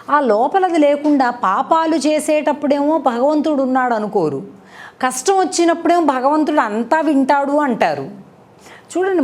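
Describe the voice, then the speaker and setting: A woman's voice: fairly high pitch, darkish tone. A woman gives a devotional discourse with moral storytelling, teaching conversationally and putting rhetorical questions to her listeners. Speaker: spiritual speaker and storyteller.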